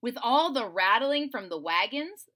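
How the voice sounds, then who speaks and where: A woman reading a children's story aloud in a theatrical, playful way, pausing to explain things simply to young listeners. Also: on the high side, animated, sharp tone. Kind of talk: expressive children's read-aloud with simple asides.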